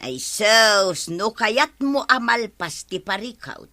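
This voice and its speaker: A woman's voice: mid-range voice, animated, takes it slowly, sharp tone. A woman with an Ilocano accent acting as a scolding, no-nonsense village woman in a radio comedy drama.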